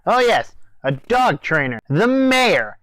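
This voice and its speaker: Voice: mid-range voice, sing-song, takes it slowly, fairly bright tone, no echo. Reviewer doing scripted anime banter, close dry sound.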